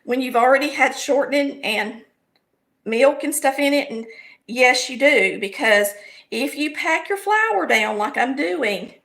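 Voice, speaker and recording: A middle-aged woman with a raspy, hoarse voice and a Southern US accent, chatting folksily as she demonstrates a recipe step by step in her home kitchen. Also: high-pitched, animated, measured pace, fairly bright tone. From American South. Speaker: home cooking show host. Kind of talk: casual cooking demonstration.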